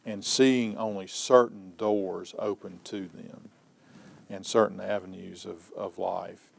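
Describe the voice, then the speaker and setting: A man in a reflective documentary interview, choosing his phrases with deliberate weight. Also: deep voice, vocal fry, darkish tone.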